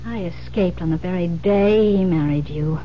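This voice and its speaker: A woman's voice: lowish voice, lo-fi sound, natural voice. Actress as gloating madwoman killer on noisy old radio. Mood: gloating, mocking. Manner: taunting, condescending, deliberate. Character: sadistic escaped madwoman killer, gloating and menacing. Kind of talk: old-time radio thriller acting.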